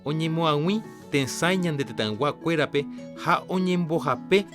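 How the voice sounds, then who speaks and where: A man's voice: fairly high pitch, unhurried. A man with a Paraguayan accent reading a scripted health announcement as a radio presenter. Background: guitar music.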